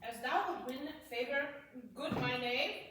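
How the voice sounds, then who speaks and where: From a distance in an echoey hall, a woman plays a courtly young lord. The voice is high and unhurried, coaxing and eager in stage-comedy style. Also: fairly bright tone. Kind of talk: Shakespearean comedy staged reading. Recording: echoey hall, distant mic, faint noise.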